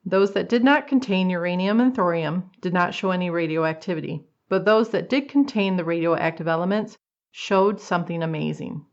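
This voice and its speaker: A woman's voice: fairly low pitch, moderate pace, clean tone. A woman reading scripted narration for an old-time radio drama. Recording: slightly dull, lo-fi sound.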